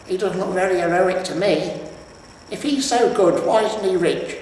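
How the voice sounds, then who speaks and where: A man's voice: sits high, normal speed, darkish tone, pitched above the performer's own voice, close to an everyday voice. A man voicing a huge female troll, a scornful, nagging wife and mother who scolds and sneers in a storybook reading. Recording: echoey reverberant room, distant mic, faint noise.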